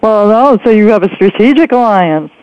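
Halting woman interviewer, dull radio sound. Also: mid-range voice, lively intonation, clear, resonant tone, no echo. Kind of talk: conversational interview.

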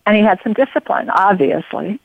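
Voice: mid-range voice, expressive, brisk, gravelly, dry recording. A radio show host interviewing a guest, talking in long rambling runs full of fillers and restarts and drifting into personal stories. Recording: phone-like narrow sound.

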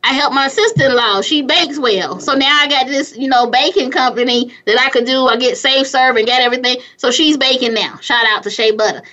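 A woman with a squeaky, fairly high voice that sounds bright and sharp, talking in a loose, energetic, motivational way. She laughs easily and restarts her sentences often. The recording is slightly muffled and lo-fi. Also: a little fast, hardly any pauses. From California. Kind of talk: casual motivational chat.

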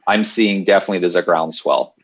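A man speaking as a panel guest, halting and full of fillers. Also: low voice, husky, lo-fi sound.

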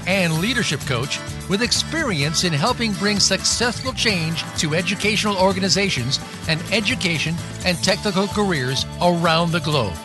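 A man reading scripted radio promos and station announcements in a lively way. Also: high-pitched.

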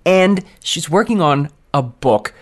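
A man's voice: a little high, animated, takes it slowly. A man from the United States hosting an internet talk radio show, upbeat and friendly as he interviews a guest. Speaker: host.

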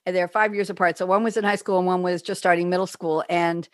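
A woman's voice: lowish voice, fairly even intonation, fast talker, dry recording, studio quality. Chatty older New York woman host. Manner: chatty, rambling storyteller, laughs often. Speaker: radio show host. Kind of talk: casual chat with guests.

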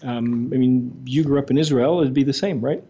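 An older man from Montreal, a native French speaker, tells his story as an interview guest with an articulate, steady flow. His voice is dark and mellow, and the recording sounds dull. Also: medium pitch, normal speed, breathy edge. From Montreal, Quebec. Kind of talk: interview answers.

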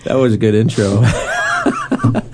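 A man's voice: on the low side, huge pitch swings, takes it slowly, background noise. A man on a discussion panel, explaining casually and loosely, restarting phrases as he goes. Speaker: panelist on a Bible study discussion.

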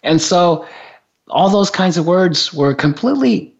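A man from Japan tells a story in easy conversational talk. His voice sits a little high and swings widely in pitch, with frequent chuckles and repeated words. The recording is dull and lo-fi. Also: lively intonation, unhurried, creaky. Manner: amused, laughs often, repeats words. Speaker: guest on spiritual talk show. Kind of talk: casual storytelling. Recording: dull lo-fi sound.

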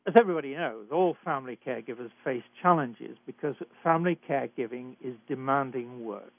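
Retired British doctor as radio host; measured, emphatic delivery, a little halting; thin, dry phone-line sound. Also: male voice, medium pitch, no room sound. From Britain. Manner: measured, emphatic, some hesitations. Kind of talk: hosted talk radio introduction.

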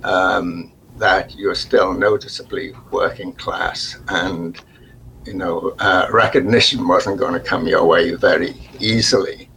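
Slow, gravelly older man. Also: low-pitched, fairly even intonation, fairly bright tone, a little hiss or hum, rough recording. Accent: faint Liverpool, mostly softened. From England. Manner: slow and halting, with restarts and fillers. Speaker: guest. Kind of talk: reflective interview talk.

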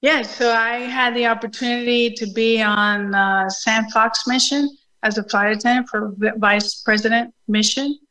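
Higher-voiced woman with a Puerto Rican accent. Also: fairly even intonation, normal speed, few pauses, pushed and bright, low-quality recording. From Puerto Rico. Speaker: show guest, Air Force veteran. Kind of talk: personal story in a remote interview. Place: video call.

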